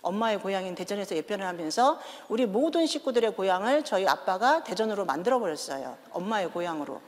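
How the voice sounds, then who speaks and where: A woman in her fifties with a Chungcheong accent, a pastor's wife giving a joking, animated testimony in a large church. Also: mid-range voice, fast talker. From Daejeon, South Korea. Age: 50s. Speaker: pastor's wife, guest speaker. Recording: echoey church hall.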